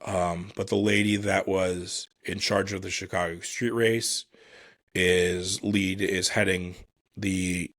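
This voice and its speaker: Low-pitched man, halting casual podcast host. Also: a bit bright, no room sound, studio quality. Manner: halting, thinking aloud, self-deprecating. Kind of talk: casual solo motorsport commentary.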